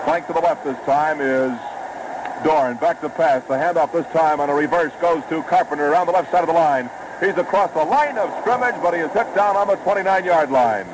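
A man calling a football game play by play on live radio, brisk and rising with the action, on a noisy old broadcast recording. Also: on the high side. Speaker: play-by-play announcer.

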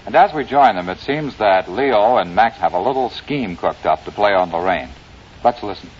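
Male host, 1950s sports radio; fairly low, formal and polished; muffled, faintly noisy vintage recording. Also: lively intonation, normal speed. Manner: polished, formal announcer phrasing. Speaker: radio announcer and interviewer.

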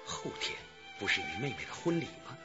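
A man's voice: mid-range voice, big pitch swings, fairly quick, lightly acted. An actor playing a scheming, hot-headed henchman in a radio drama, coaxing and emphatic in a conspiratorial way. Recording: muffled broadcast with music behind.

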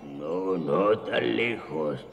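An ancient little cartoon grandfather with a low voice. He is wise yet doddering and forgetful, heard in long reverb. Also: lively intonation, very slow, vocal fry, exaggerated voice. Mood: kindly, doddering. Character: tiny, very old, forgetful grandfather gnome-like creature. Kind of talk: cartoon dub acting. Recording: long reverb, faint music behind.

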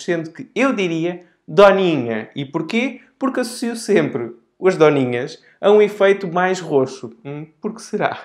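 A man in his thirties with a European Portuguese accent, presenting a step-by-step tutorial in a didactic way, speaking directly to viewers. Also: on the high side. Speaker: board game channel presenter. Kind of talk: explanatory tutorial to camera. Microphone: lapel microphone.

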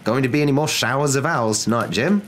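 Man doing a chirpy, higher-pitched TV newscaster. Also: fast, slight background noise, close to an everyday voice. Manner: bright, polished broadcast patter. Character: chirpy male television newscaster and weatherman. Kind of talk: news broadcast delivery.

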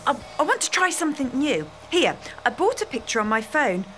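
A polite salon client, played by an actress in an audio play. Her voice is fairly high and bright, lively in pitch but a little hesitant in manner. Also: a little fast, faint music behind. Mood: hesitant, agreeable. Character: polite, slightly hesitant female salon client, a young mother. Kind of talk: amateur audio play acting.

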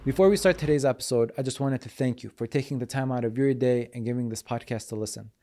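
Young man hosting a podcast, mellow. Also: medium pitch, fast talker. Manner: direct, asks questions. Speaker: podcast host. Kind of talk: podcast interview. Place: podcast studio, indoors. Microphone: studio mic on boom arm.

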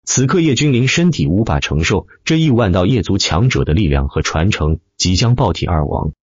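Male audiobook narrator, animated dramatic delivery, lowish voice, close dry sound with dulled top end. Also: a little fast, husky, no echo, highs cut off. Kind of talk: scripted dramatic narration of fantasy web novel.

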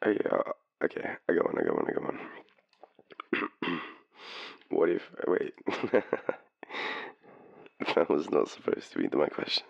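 A man's voice: extremely low pitch, huge pitch swings, no echo, highs cut off, heavily acted. An Australian man playing a tipsy, shy, lovestruck young guy phoning his crush in an intimate audio roleplay, hesitant and rambling. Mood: shy, playful. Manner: hesitant, rambling, halting, breathy chuckles. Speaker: voice actor in boyfriend roleplay. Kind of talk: intimate audio roleplay, one-sided phone call.

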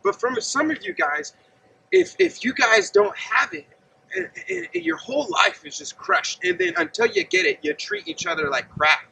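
A man's voice: very high-pitched, sing-song, moderate pace, creaky, sharp tone, a little hiss or hum. A man in his thirties preaching earnestly and conversationally outdoors, standing and gesturing while he talks to a camera set some distance away. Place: outdoors by a gravel lot and fence. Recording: distant outdoor mic with faint ambience.

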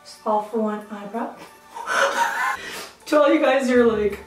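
A woman's voice: on the high side, huge pitch swings, unhurried, far from the mic. A young woman chatting casually through her makeup routine, playful and self-mocking, often laughing at herself, filmed on a camera mic in a small tiled bathroom. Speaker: beauty vlogger. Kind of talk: casual makeup vlog chat. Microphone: camera mic at arm's length. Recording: echoey bathroom, light background music.

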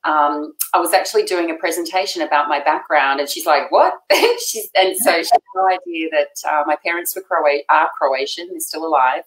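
A middle-aged Australian woman talking warmly and effusively as a guest on a video call. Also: low-pitched, normal speed, few pauses. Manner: warm, effusive, laughs often. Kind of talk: casual chat. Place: home room. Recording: echoey room on video call.

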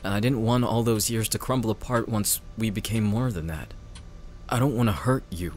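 A man playing a remorseful young secret agent and devoted best friend in an emotional audio roleplay, earnest and halting. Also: fairly low pitch, a little mellow, slight background noise, close to an everyday voice. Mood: earnest, regretful.

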